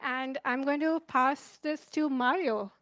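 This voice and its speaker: A woman organizer giving a welcome announcement at an event venue, briskly telling the crowd what to do. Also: high voice, steady tone, fairly bright tone, no room sound.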